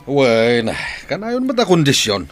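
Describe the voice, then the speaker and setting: A man's voice: medium pitch, huge pitch swings, unhurried, dry recording, soft background music. A man playing a lazy, dismissive husband and father in an Ilocano radio comedy-drama, grudging in the way he answers. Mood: offhand.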